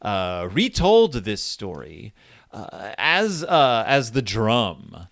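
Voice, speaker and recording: Guy podcast host, really animated voice, rambly casual book chat, close dry sound. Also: fairly low pitch, very slow, vocal fry, no room sound.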